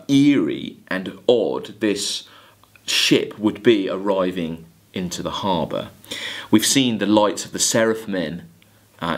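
A man in his thirties lecturing on literature, explaining in hesitant phrases with emphatic stresses. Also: deep voice. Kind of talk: video lecture with poem readings and commentary.

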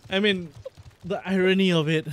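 A man's voice: sits high, steady tone, moderate pace, fairly bright tone, a little hiss or hum. Singaporean man explaining in bursts. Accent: Singaporean English. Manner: explains in bursts, corrects himself and restarts. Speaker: vlog host. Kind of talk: casual chat while streaming.

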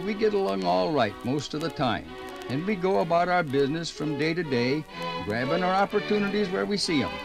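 A man's voice: fairly high pitch, gravelly, poor audio. An actor playing a folksy, plain-spoken American man narrating a 1950s radio documentary in a conversational, homespun way.

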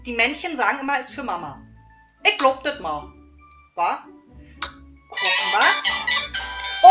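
A middle-aged woman, chatting informally to viewers in an animated way with frequent tag questions. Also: high-pitched. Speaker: host of a book giveaway vlog. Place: small indoor room lined with books. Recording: roomy echo, faint music.

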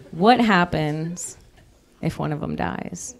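Woman comic with a deadpan delivery. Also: lowish voice, gravelly. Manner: deadpan dark punchlines, chatty asides. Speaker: stand-up comedian. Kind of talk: stand-up comedy set. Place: comedy club stage before a live audience. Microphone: handheld stage mic. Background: audience laughter.

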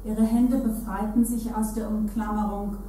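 A woman's voice: mid-range voice, level tone, normal speed, dark tone. A woman narrating literature in a staged reading. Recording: echoey hall, distant, noisy.

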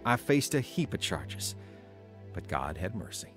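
An actor in an audio drama playing an earnest, emotional, wavering ex-convict who has newly found religion. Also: male voice, lowish voice, big pitch swings, a little slow, music in the background. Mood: searching, reflective. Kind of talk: audio drama acting and first-person narration.

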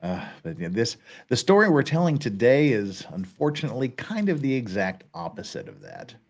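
A man's voice: mid-range voice, huge pitch swings, normal speed, vocal fry. A middle-aged man hosting a casual livestream from a home studio, chuckling and reading chat comments aloud. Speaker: host. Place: home studio in front of a backdrop. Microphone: headset.

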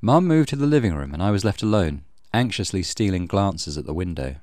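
A man reading a horror visual novel aloud as dramatic, measured narration. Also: sits low, expressive, a little mellow, no echo. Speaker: gaming channel host reading visual novel. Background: music.

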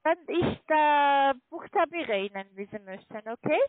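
A woman with a foreign accent speaking halting, non-native German on the phone, insistently repeating instructions as a call centre agent. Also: sits high, lively intonation, no room sound. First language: not German. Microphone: telephone. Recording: tinny, narrow phone line.

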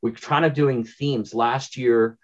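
A man's voice: medium pitch, steady tone, slow, darkish tone. A man, talking casually and effusively in a rambling way as an interview guest. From Canada. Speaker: interview guest, audio drama producer. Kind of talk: enthusiastic casual interview talk. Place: small home room with bookshelves, indoors. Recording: dull, lo-fi video-call audio.

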